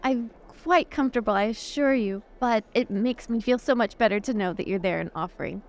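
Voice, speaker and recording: A woman playing a genteel young lady in improvised tabletop roleplay, gushing, halting and evasive as she apologises and reassures. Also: on the high side, darkish tone, natural voice. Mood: bright reassurance. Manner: gushing, halting, over-polite.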